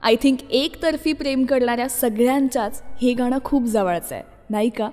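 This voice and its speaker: A woman's voice: a little high, normal speed, a little mellow. A woman presenting a scripted radio show about classic songs, addressing listeners directly. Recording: long reverb, music behind the voice. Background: music.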